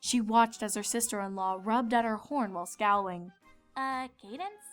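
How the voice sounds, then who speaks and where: A woman's voice: medium pitch, fairly even intonation, normal speed, faint music behind. A woman reading scripted narration as a storyteller.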